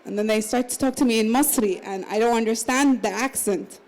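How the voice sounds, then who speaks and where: A woman's voice: on the high side, brisk, a little mellow, poor audio. A woman in her thirties, raised in the Emirates, talking casually as a panel guest at a literary salon. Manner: conversational, with restarts and small laughs. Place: literary salon at a book fair.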